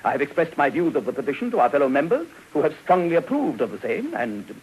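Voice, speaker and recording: A man playing a grandiloquent, down-at-heel middle-aged gentleman debtor in a florid, pompous 1950s radio drama style. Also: fairly high pitch, very animated, fast, poor audio. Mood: grandly optimistic. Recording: hissy old radio broadcast.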